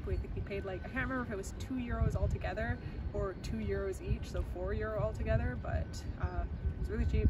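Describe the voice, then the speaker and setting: A young Canadian woman talks through interview answers, loose and chatty with lots of "um" and "really." She stands a few meters from the camera outside, so her voice sounds distant. Loud traffic and street noise run underneath. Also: mid-range voice. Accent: Canadian English. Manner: chatty, many fillers and repeated "really". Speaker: interviewee, law student. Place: outdoors on a campus plaza by a glass building. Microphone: camera mic from a few meters away. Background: outdoor traffic noise.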